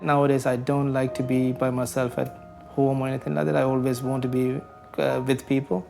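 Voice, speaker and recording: A young man from Sri Lanka, speaking as an interviewee about his life, hesitant with many pauses. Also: mid-range voice, flat delivery, creaky. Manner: hesitant, many ums and uhs. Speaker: interviewee, community advocate. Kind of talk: recorded interview, reflective. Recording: background music under voice.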